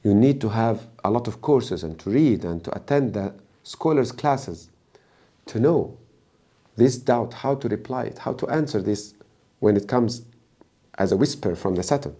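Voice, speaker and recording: A man from Egypt with an Egyptian accent, a native Arabic speaker, giving religious advice as a preacher in an emphatic, deliberate way. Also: fairly low pitch, lively intonation, dark tone, breathy edge. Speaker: Islamic preacher and guest.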